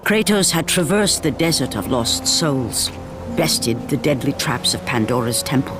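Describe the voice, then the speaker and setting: A woman giving dramatic scripted narration of a mythic tragedy in a video game, grave, measured and portentous. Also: very deep voice, animated. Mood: solemn. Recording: loud music behind. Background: music.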